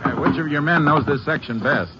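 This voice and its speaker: A man's voice: a little high, brisk, very noisy, natural voice. An actor playing a steely, resolute Texas Ranger who narrates his cases tersely, in 1950s American radio western style. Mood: brisk, businesslike. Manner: terse, matter-of-fact. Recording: dull old radio recording with music.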